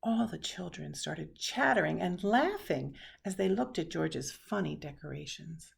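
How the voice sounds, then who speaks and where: Animated woman reading storybook, gravelly husky voice. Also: low-pitched. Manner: animated, dramatic pauses and hushed asides. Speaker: storybook reader. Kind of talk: expressive read-aloud of a children's picture book.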